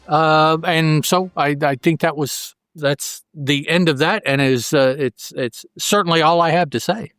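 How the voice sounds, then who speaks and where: A man's voice: a little high, unhurried, hardly any pauses, a bit bright, clean tone, dry recording, faint music behind. Casual man podcasting in a dry room. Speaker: podcast host. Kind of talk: casual comic book recap.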